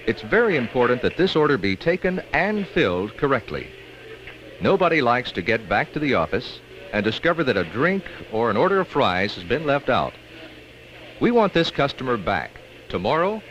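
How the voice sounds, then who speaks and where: An American man reads scripted training film narration in an instructional way with measured emphasis, on an old 1960s film soundtrack. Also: mid-range voice, very animated, fairly bright tone, dull audio, slight background noise.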